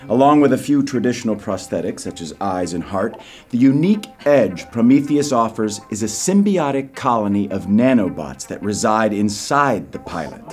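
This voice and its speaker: An actor playing a slick, polished civilian pitchman presenting a secret military program in an audio drama. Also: animated, normal speed, a little mellow, faint music behind, close to an everyday voice. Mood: smooth, assured. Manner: smooth, polished, salesmanlike.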